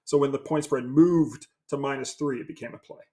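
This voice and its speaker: A man, a sports handicapper, talking in a halting, modest way with lots of fillers. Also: medium pitch, fairly even intonation, warm tone. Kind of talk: casual interview talk.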